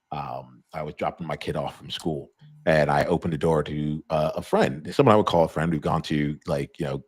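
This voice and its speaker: A middle-aged man hosting a live conversation, talking loosely with a deep voice, many fillers and restarts. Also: dry recording, studio quality. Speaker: host.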